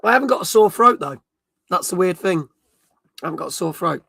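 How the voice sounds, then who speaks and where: A middle-aged man with a croaky voice, hosting a casual live stream and chatting with viewers in cheeky banter. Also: sits high, expressive, fairly quick, pushed and bright, no room sound, clean studio sound. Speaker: livestream host. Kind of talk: casual live-stream chat, reading chat comments.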